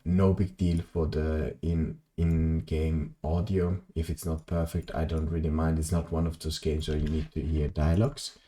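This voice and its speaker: A young man, chatting loosely while live-streaming a game, full of ums and self-deprecating asides. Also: dark tone. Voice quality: very low voice. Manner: rambling, self-deprecating, many ums and restarts. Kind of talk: casual live-stream chat while gaming.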